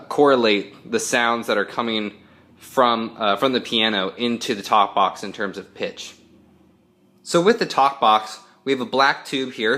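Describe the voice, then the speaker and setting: A man giving an informal instructional demonstration as a musician, explaining step by step with hesitant pauses mid-phrase. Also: mid-range voice, a bit bright, a little hiss or hum.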